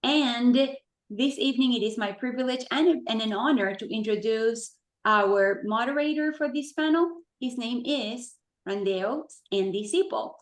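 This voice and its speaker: A woman's voice: a little high, a little slow, roomy sound. A woman hosting an event, reading a formal prepared introduction of the moderator. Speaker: event chair and host.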